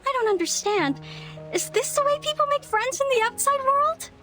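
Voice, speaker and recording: Very high, innocent village girl. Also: lively intonation, normal speed, soft background music, heavily acted. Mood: gently pleading. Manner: gentle, polite, innocent. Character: sweet, naive, earnest village girl. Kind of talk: dramatic video game voice acting.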